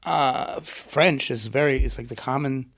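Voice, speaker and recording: A man's voice: mid-range voice, a little slow. A middle-aged man, an author and poet, being interviewed and thinking aloud with a reflective, rambling manner. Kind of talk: conversational interview.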